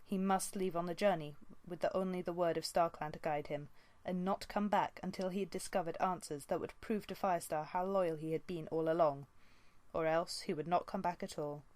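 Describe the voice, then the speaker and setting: A woman's voice: on the low side, fairly even intonation, fairly quick, darkish tone. A woman narrating an animal fantasy novel as an amateur audiobook reader. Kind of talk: scripted storybook narration.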